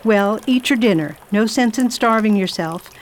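A woman's voice: mid-range voice, natural voice. Woman acting a commanding, formal lady traveller, noisy recording. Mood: brisk, kindly. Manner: imperious, deliberate, formal. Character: Miss Gregory, self-assured, commanding, kindly lady traveller. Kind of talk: radio theatre acting and diary narration.

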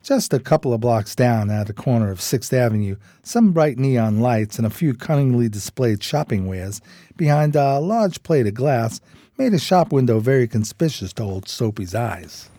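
An actor playing a streetwise, homeless New buddy, narrating a radio drama in folksy, admiring slang. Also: male voice, mid-range voice, expressive, moderate pace, dark tone, no room sound, studio quality, natural voice. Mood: wry storytelling.

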